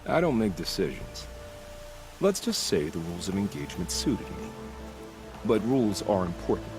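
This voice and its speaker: Game bandit hunter: low, unhurried, quietly sinister philosopher of killing, over music. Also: male voice, sing-song. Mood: calm, menacing. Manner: soft-spoken, measured, philosophical. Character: calm, menacing male bandit-killer, ex-soldier with a taste for killing. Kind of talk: video game voice acting.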